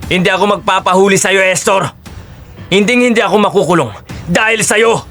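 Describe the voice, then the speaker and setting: A man's voice: sits high, brisk, fairly bright tone, soft background music. A man playing a treacherous, vengeful killer on the run in an audio drama, agitated and bitter. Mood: defiant, bitter.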